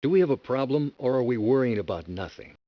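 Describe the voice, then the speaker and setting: An actor playing a tired, curt submarine captain giving orders in a 1990s video game. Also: male voice, medium pitch, lively intonation, fast talker. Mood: commanding. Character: weary gruff male submarine captain. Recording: dull lo-fi game audio.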